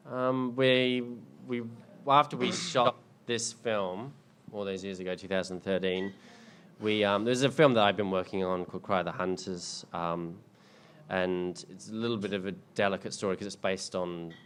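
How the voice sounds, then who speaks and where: Deep-voiced man, rambling. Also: moderate pace, fairly bright tone, slight background noise. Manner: rambling, hesitant, many ums and restarts. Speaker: filmmaker answering audience questions. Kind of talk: casual panel Q&A. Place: indoor screening event.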